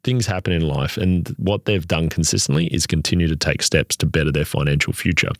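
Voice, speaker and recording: A man with an Australian accent and a noticeably nasal voice speaks in a deep register. He explains things at length and earnestly, sometimes restarting his sentences. The sound is dry and close. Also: brisk, no room sound. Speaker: podcast co-host, property adviser. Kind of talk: conversational podcast explanation.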